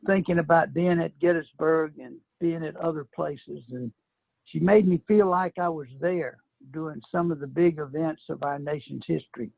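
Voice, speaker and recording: A man's voice: a little high, vocal fry, slightly rough, dry recording, narrow, tinny audio. An older man from an immigrant family, telling a panel about his school days in a reflective, reminiscing way. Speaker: panel guest. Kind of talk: personal storytelling.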